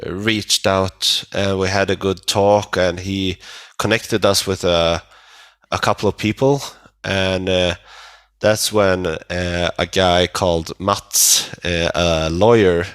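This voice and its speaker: A man with a Swedish accent, a native Swedish speaker, chatting casually as an interview guest in halting phrases. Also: low voice, fairly bright tone, clean tone, no room sound, studio quality. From Sweden. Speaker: metal band vocalist, interview guest.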